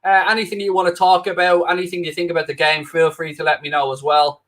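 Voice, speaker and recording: Cheeky Irish man with a high voice. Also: level tone, fast, fairly bright tone. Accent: Irish, Dublin-Wicklow. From Ireland. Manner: cheeky, self-mocking banter. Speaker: fill-in host of a football watchalong. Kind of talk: casual live-stream chat reading viewer comments. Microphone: new microphone, close.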